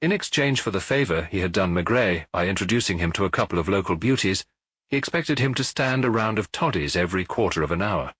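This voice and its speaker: A male audiobook narrator reads detective fiction in a lowish, husky voice. His intonation is lively and literary, and the recording is dry. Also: fast, no room sound.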